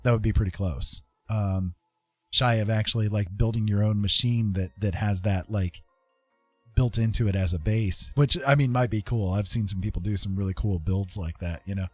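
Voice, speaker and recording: A man with a fairly low voice talks casually as a stream host, with faint music behind him. He rambles in a hesitant way, stopping mid-thought and circling back over the same phrases. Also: normal speed, dry recording. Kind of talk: casual rambling chat with viewers.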